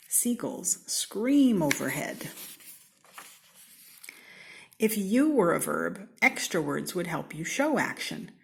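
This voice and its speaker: An American woman from Seattle reading a children's storybook aloud in an expressive, theatrical way, with deliberate, playful emphasis. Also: on the low side. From Seattle, United States. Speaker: storybook reader.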